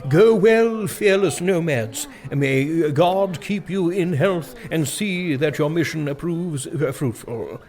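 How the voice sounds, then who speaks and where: An actor playing a vague, bumbling king in a comedy audio drama, making halting, stammering, mock-regal proclamations. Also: on the high side, animated, darkish tone. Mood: flustered pomp. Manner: halting, stammering, flustered pomp. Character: vague, bumbling male king addressing his subjects.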